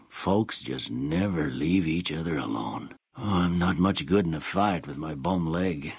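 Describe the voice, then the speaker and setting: An actor playing a kindly, world-weary old small-town doctor in a video game, with a husky voice and a folksy, rustic American drawl. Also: male voice, low-pitched, no echo, clean studio sound, heavily acted. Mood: concerned, helpful. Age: older. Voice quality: husky, gravelly. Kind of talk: video game character dialogue.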